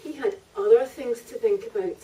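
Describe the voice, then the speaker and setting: A woman's voice: on the high side, moderate pace, dark tone. A woman author reading narrative prose from her own book at a live event, picked up by a distant microphone. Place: live festival reading in a library venue.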